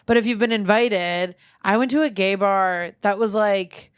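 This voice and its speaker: A woman co-hosting a comedy podcast, telling a story in animated, quick bursts. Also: medium pitch, clear, resonant tone, no echo, studio quality. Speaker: podcast co-host.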